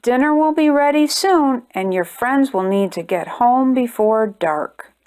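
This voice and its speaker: Woman as a high-voiced, caring old grandma. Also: lively intonation, measured pace, a little mellow, clean tone.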